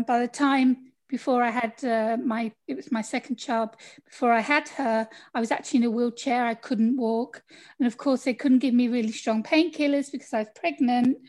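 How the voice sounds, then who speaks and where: A middle-aged woman from the UK with a British accent, telling her story as a guest in a casual interview over a video call, chatty and laughing often. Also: on the high side. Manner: chatty, laughs often, acts out quoted people. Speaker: podcast guest.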